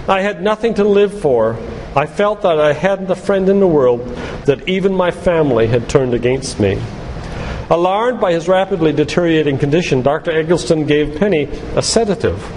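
An older man giving an earnest, deliberate sermon-like talk with stories and read-out quotations. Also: fairly high pitch, lively intonation, darkish tone. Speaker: physician and lay preacher giving a church talk. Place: church hall, live talk to congregation. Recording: reverberant church hall, background noise.

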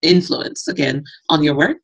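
Woman, interview host, video call, enthusiastic, breathy voice, many fillers. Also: deep voice, normal speed. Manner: enthusiastic, affirming, lots of fillers.

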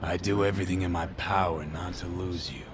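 An actor playing a gruff, laid-back rebel man with a tender side in a video game, low-key and terse. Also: fairly even intonation, fairly quick, natural voice. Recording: clear ambient noise behind.

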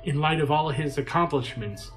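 An actor playing an anxious, deferential adviser to a president in an audio drama, hesitant and cautioning. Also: male voice, fairly high pitch, normal speed, soft background music, lightly acted. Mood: reluctant.

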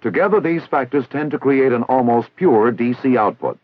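Male narrator, American, for vintage 1960s instructional film; formal and didactic, husky edge, very dry lo-fi sound. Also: mid-range voice, moderate pace, no echo. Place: recorded voice-over.

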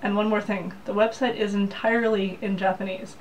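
A woman's voice: mid-range voice, level tone, a little fast, far from the mic, slight background noise, poor audio. Young woman vlogging, a bit off-mic. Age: young adult. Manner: plain, practical explaining. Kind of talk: casual talk to camera. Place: small room in a Japanese apartment, indoors. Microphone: camera mic, head-and-shoulders framing.

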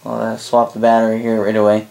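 Canadian man rambling in flat pitch. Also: gravelly. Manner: halting, self-correcting, rambling. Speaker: product reviewer. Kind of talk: casual rambling gadget demonstration. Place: small indoor room at a desk.

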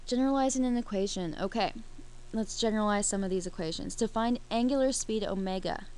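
A woman's voice: mid-range voice, fairly quick, a little mellow, smooth. A woman teaching a math lesson over a screen recording, explaining each step while she works the problem.

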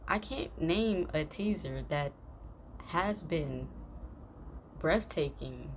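A young woman host giving casual, slangy, halting reaction commentary that often repeats itself. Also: low voice, lively intonation. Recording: noisy room recording.

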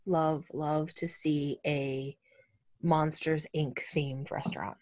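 A woman co-hosting a travel podcast, calmly laying out her points. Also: low voice, takes it slowly, no echo. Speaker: podcast co-host. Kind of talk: casual chat.

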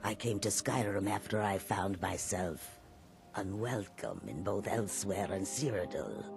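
An actor playing a courteous, weary cat-folk caravan merchant in a fantasy game, with measured formal speech. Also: male voice, on the low side, expressive, vocal fry, over music. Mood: earnest. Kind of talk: fantasy video game dialogue.

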